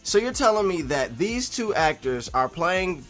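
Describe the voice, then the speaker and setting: A man's voice: high-pitched, very animated, measured pace, a bit bright. Rambling, emphatic man vlogging over music. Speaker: YouTube vlogger. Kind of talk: casual opinionated commentary, replying to viewer comments.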